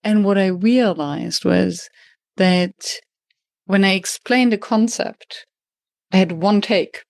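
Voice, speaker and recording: A woman with a German accent, a native German speaker, hosting an interview in English. She talks quickly and reflectively and restarts phrases as she thinks aloud. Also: mid-range voice, vocal fry, darkish tone, no echo, studio quality. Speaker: podcast host and facilitation trainer. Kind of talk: conversational interview.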